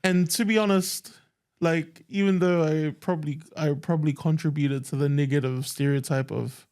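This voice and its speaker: Earnest Tongan man, warm low tone. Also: fairly even intonation, moderate pace, clean tone. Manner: earnest, emphatic storytelling. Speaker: podcast co-host. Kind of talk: casual chat.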